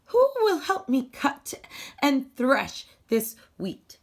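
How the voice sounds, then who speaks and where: A woman's voice: high voice, big pitch swings, slow pace, voice pushed up, heavily acted. A woman voicing a hard-working, determined little red hen in a storybook, with emphatic, singsong calls. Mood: asking, hopeful.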